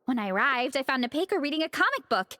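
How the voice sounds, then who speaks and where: A woman's voice: high voice, very animated, fast, pushed and bright, heavily acted. An actress voicing an upbeat, sociable young woman in a video game, telling things in a breezy, friendly way. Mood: breezy, chatty. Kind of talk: English video game voice acting.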